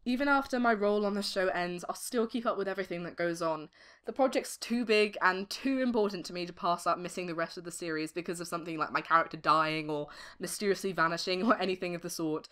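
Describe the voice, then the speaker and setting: A young woman giving articulate, expressive interview answers as an amateur voice actress. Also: on the low side, quick pace, clean tone. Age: young adult.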